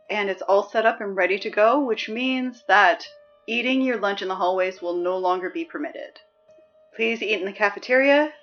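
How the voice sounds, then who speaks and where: A woman playing a weary, sardonic high school morning announcer in a scripted audio drama. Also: lo-fi sound, close to an everyday voice. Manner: sardonic, deadpan, exasperated asides.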